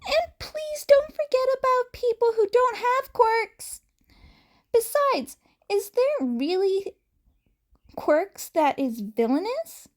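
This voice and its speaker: A woman voicing an eager, innocent, excitable young boy in a story, with pleading exclamations and questions. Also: extremely high pitch, slow pace, voice pushed up, full character voice. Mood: innocent, earnest.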